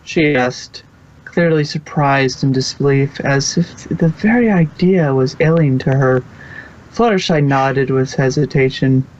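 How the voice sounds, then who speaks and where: A narrator reading fan fiction aloud in a halting way, stumbling now and then over the text. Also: mid-range voice, a little mellow. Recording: dull lo-fi recording.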